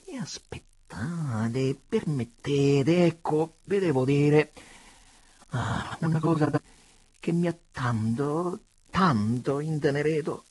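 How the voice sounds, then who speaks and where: A reader voicing an unctuous, soft-hearted elderly bishop, hesitant and sentimental, in a dramatic audiobook. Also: medium pitch, lively intonation, slow pace, dry recording, pitched above the performer's own voice. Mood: tender pleading. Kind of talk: dramatic audiobook character reading.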